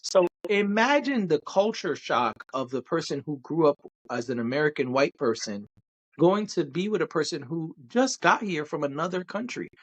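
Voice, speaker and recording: A man's voice: on the high side, moderate pace, poor audio. Emphatic Brooklyn man in casual chat. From Brooklyn, New York. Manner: animated, emphatic, talks at length. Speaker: podcast co-host.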